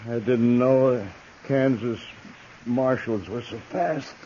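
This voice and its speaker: An American actor playing a wounded, sardonic outlaw in a 1950s radio western, weak and halting. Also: male voice, mid-range voice, fairly even intonation, warm tone, lightly acted. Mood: weak, wry. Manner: halting, drawn-out. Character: wounded, sardonic male outlaw in the Old West. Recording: muffled old radio recording, faint noise.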